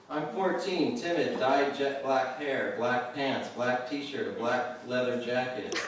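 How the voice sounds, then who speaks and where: A man gives a live poetry reading in a very reverberant room, picked up from some distance. His medium-pitched voice is deliberate on the verse and loosens into offhand, casual talk. There is faint noise behind him. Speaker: poet reading own work. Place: live reading venue, indoors. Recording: roomy echo, distant mic, faint noise.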